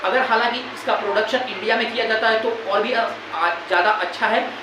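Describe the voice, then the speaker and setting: A woman's voice: fairly high pitch, fairly quick. A woman with an Indian accent presenting an informal current-affairs explainer in an explanatory, repetitive way. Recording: very echoey room, loud background noise.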